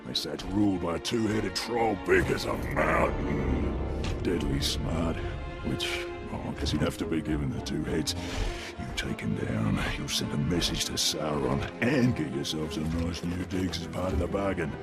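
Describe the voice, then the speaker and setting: An actor playing a huge, bloodthirsty, jokey troll bodyguard with a gravelly, crude way of talking in a video game. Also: male voice, rumbling low, normal speed. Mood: casual scheming. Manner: crude, colloquial, wisecracking. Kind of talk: video game cutscene acting. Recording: loud music behind the voice.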